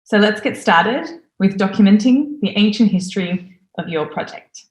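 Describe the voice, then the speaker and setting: A woman in her thirties, from Australia, giving a recorded conference talk from home in an eager, lively way. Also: mid-range voice, normal speed, reverberant, rough recording. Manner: enthusiastic, lively presenter. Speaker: conference speaker.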